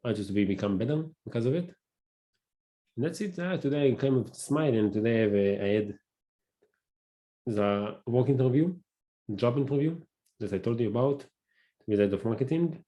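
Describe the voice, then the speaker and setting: A man with a Hebrew accent, a native Hebrew speaker, hosting a casual solo podcast and talking haltingly, often searching for words and restarting. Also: mid-range voice, expressive, dark tone. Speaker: podcast host.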